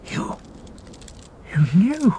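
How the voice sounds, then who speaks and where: An actor in a radio drama playing a terrified, pleading Roman captive who speaks haltingly. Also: male voice, warm tone, slight background noise. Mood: shocked, anguished.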